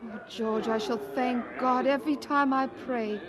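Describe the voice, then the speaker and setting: An actress playing a devoted, bossy preacher's wife of the eighteenth century in an audio drama, with expressive old-fashioned diction. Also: female voice, a little high, measured pace, crowd noise, natural voice. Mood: excited.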